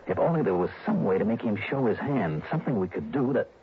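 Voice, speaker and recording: An American actor playing a shrewd, determined insurance investigator in a 1960s radio drama. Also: male voice, lowish voice, animated, fast talker, husky, heavily acted. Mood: intent, scheming. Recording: muffled, noisy old radio recording.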